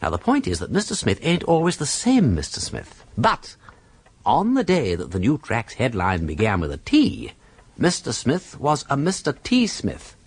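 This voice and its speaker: An actor playing a witty, urbane gentleman detective with an upper-class English accent, explaining things theatrically in a radio drama. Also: medium pitch, huge pitch swings, normal speed, breathy edge, dry recording, heavily acted. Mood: explaining, playful. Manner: theatrical, emphatic, didactic, drawling. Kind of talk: British radio drama acting.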